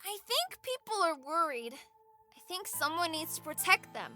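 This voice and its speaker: Actress as a sweet, earnest youngster in a fantasy audio drama, sparkling and very high. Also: female voice, sing-song, a little slow, sharp tone, faint music behind, exaggerated voice. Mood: thoughtful, earnest. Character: bright, kind, wise-beyond-years kid from another world. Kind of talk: scripted audio drama acting.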